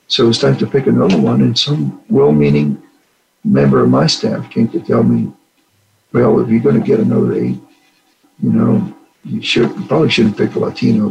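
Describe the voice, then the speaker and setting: Gravelly older man telling stories. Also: medium pitch, muffled recording. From Puerto Rico. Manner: earnest, animated when acting out dialogue. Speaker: retired general, guest. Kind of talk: storytelling interview answers.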